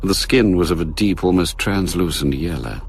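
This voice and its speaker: A very low-voiced narrator of a radio thriller, grave and measured, delivering literary description. Faint ambient noise sits behind the voice. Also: male voice, gravelly.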